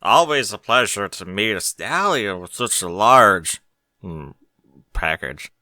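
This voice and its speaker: A man's voice: fairly low pitch, lively intonation, takes it slowly, bright, forceful tone, no echo, studio quality, exaggerated voice. A man voicing a teasing, flirtatious female earth pony with sly delivery in an audiobook. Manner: sly, drawling innuendo. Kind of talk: amateur audiobook character voice.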